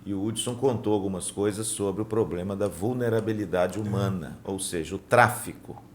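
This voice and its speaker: A man's voice: fairly low pitch, normal speed, a little hiss or hum. A Brazilian man, a pastor, hosting a casual interview and asking questions. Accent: Brazilian Portuguese. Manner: brief supportive interjections, asks questions. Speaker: pastor, host.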